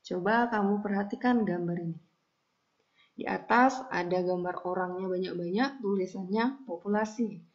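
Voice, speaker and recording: A woman's voice: mid-range voice, moderate pace. A woman explaining a lesson as a school teacher, in a didactic way with frequent little check-in tags. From Indonesia. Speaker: high school math teacher. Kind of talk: recorded statistics lesson, explanatory. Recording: dull, lo-fi audio.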